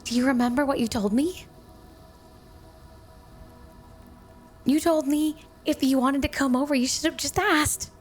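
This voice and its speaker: A woman's voice: sits high, fairly quick, faint music behind, natural voice. A woman playing a flirty, devoted, possessive snow woman wife in an intimate, sweet ASMR roleplay. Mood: proud, teasing.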